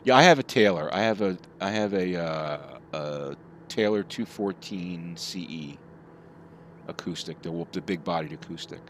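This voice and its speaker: A man's voice: low voice, animated, measured pace, a little hiss or hum. A middle-aged man chatting casually with his live chat while he plays, rambling from topic to topic. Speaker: streamer.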